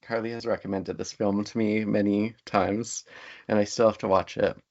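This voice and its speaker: A man with a gravelly, husky voice talking as a guest in a conversational interview. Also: lowish voice, little pitch movement, moderate pace, darkish tone. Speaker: interview guest, writer and academic. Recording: slightly dull, lo-fi audio.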